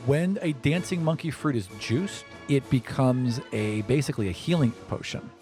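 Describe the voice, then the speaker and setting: A man's voice: mid-range voice, moderate pace, music in the background. A man narrating an improvised tabletop role-playing game as game master.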